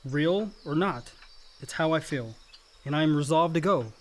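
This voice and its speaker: A man's voice: a little high, lively intonation, unhurried. A man acting an earnest, dutiful young adult son, the family peacemaker, in a naturalistic radio play. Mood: pained, confessional.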